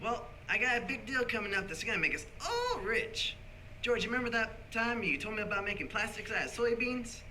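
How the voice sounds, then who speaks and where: A man acts out a hearty, boastful young go-getter from an old radio drama. His voice is high and piercingly bright, with a breezy push and faint noise behind it. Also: big pitch swings, brisk, full character voice. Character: brash, jovial young businessman on the telephone. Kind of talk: 1940s radio play acting.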